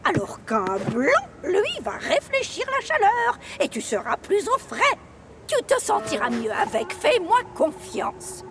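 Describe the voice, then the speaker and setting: A woman's voice: extremely high pitch, huge pitch swings, a little slow, crowd noise, heavily acted. A woman voicing a doting, bossy elderly mother with theatrical, coaxing delivery in a cartoon dub. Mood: coaxing, insistent. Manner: coaxing, self-assured, theatrical. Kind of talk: animated children's series dub.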